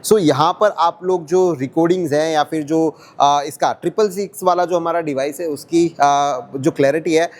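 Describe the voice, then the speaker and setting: A man's voice: on the high side, fairly even intonation, moderate pace, few pauses. A man hosting a product test vlog, explaining to viewers as friends and mixing in English words. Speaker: host testing walkie-talkie handsets.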